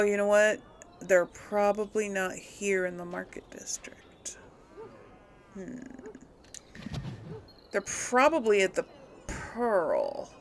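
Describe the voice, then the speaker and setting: A woman giving casual game commentary as she plays, musing and thinking out loud to her audience. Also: medium pitch, gravelly, a little hiss or hum. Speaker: gamer, host of a role-play let's play.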